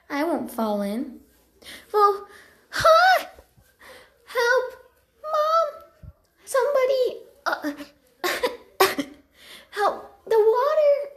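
Casting: young female warrior-cat kit, played by a woman, high voice, unhurried delivery, eager and excitable, roomy sound. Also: exaggerated voice. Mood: pleading, carefree, then panicked. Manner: eager, breathless, expressive. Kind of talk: amateur character voice lines.

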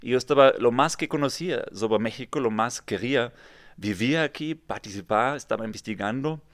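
A man in his thirties from Germany, speaking with a German accent and non-native, halting phrasing as a podcast guest. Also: medium pitch, a bit bright, no room sound, clean studio sound. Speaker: podcast guest, real estate developer. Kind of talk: conversational storytelling interview. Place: small padded podcast studio, indoors. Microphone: studio microphone with pop filter, headphones.

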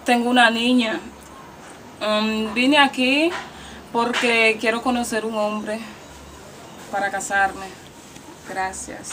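Dominican woman, 20s, slow and shy, noisy patio. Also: a little high, fairly even intonation. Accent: Dominican Spanish. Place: outdoor patio.

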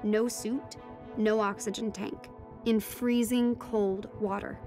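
A woman's voice: mid-range voice, steady tone, slow, dark tone, faint music behind, natural voice. A woman playing a teenage girl who narrates her own adventure in a scripted audio drama. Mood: narrative, suspenseful. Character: teenage daughter recounting her ocean adventure. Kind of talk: scripted first-person audio fiction narration.

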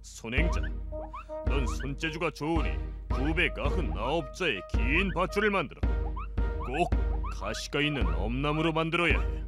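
A bossy little guardian-spirit leader from a children's cartoon, voiced by a woman. The voice swings widely in pitch as it barks out orders. Loud music plays underneath. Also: wildly swooping pitch. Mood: commanding. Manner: commanding, brisk orders.

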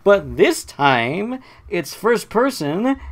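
A man's voice: high-pitched, very animated, drawn-out words. A man hosting a let's play, mixing casual game commentary with reading story text aloud.

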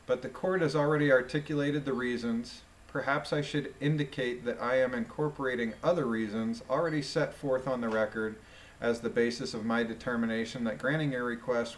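A man with a medium-pitched voice reads from a document and comments on it informally. His delivery is deliberate and musing, as if thinking it through while he goes. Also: rough recording. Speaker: commentator reading a court transcript aloud. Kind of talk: read-aloud with informal commentary.

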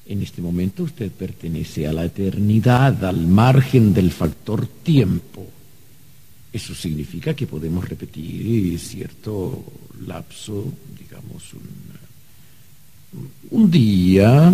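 Husky, fussy archangel bureaucrat. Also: male voice, sits low, expressive, slow pace, warm tone, heavily acted. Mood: officious, measured. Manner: hesitant, pompous, hemming and hawing. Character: fussy, flustered male archangel bureaucrat in heaven. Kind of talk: Spanish-language radio drama acting.

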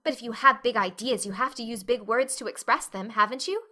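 A woman's voice: on the high side, fairly quick, pushed and bright. A woman voicing a talkative, dreamy, dramatic orphan girl with an earnest, effusive manner in an audiobook. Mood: eager, happy.

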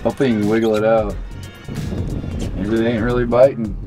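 A man's voice: on the low side, little pitch movement, fairly quick, music in the background. A man giving casual running commentary on fishing, outdoors on a boat, recorded on a handheld camera. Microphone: handheld camera mic.

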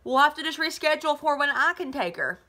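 A woman reader plays a tired mother in a storybook. She is gentle and apologetic, with a resigned, soothing way of talking. The voice is fairly high and clear-toned. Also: expressive, quick pace.

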